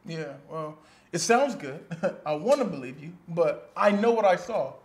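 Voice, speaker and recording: A man's voice: on the high side, expressive. A man playing a sassy, wounded best friend. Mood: hurt, sassy. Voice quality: sassy, theatrical, drawn-out delivery. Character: dramatic jilted male best friend, sassy. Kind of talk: comedy skit acting.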